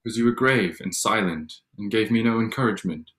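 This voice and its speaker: A reserved Regency gentleman suitor acted by a man, with a deep, low voice. He is formal and proud, shyly earnest underneath, and a little halting as he reads the period romance. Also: natural voice. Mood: sincere, restrained. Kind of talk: staged reading of a period drama.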